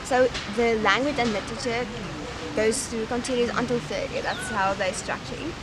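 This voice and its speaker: A young South African woman with an Afrikaans-speaking background and a South African accent, talking in a relaxed, thoughtful way with frequent pauses and restarts while she is interviewed outdoors on a campus. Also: on the high side, crowd noise. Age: young adult. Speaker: university student. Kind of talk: casual interview. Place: outdoors in a sunny campus garden.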